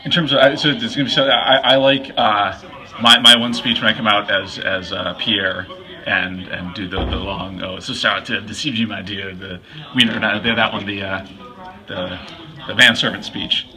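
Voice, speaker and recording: A man speaks casually in an interview in a strikingly bright, forceful voice that sits slightly low. He is halting, restarting phrases and thinking aloud as he searches for each answer. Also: steady tone. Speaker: actor and play director.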